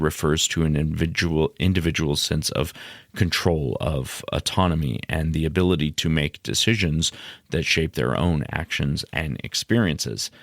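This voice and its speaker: Male podcast host, low-pitched gravelly voice, measured explanatory delivery, dry close sound. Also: no echo. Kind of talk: explanatory podcast monologue, semi-scripted.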